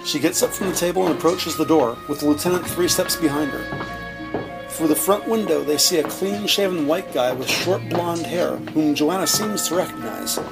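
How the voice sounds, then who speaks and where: Guy narrating an audio drama, pretty gravelly voice, music playing behind him. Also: on the high side, normal speed, low-quality recording.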